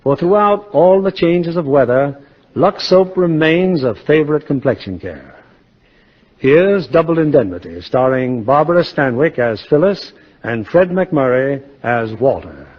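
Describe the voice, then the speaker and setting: American radio announcer, muffled vintage sound. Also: male voice, a little high, animated, a little slow. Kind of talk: scripted 1950s radio narration. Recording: old muffled radio recording, music behind.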